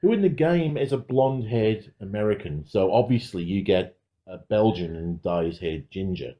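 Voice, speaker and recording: Lowish soft mellow guy doing casual podcast reviews, dry and wry. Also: lively intonation, moderate pace. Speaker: podcast panelist.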